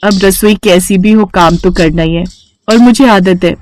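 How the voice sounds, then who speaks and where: A woman's voice: medium pitch, moderate pace, a little mellow, slight background noise, lightly acted. Woman acting a humble young daughter-in-law, patient, helpful, reassuring, cartoon storybook. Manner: accepting, reassuring. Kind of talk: Hindi cartoon bedtime-story character acting.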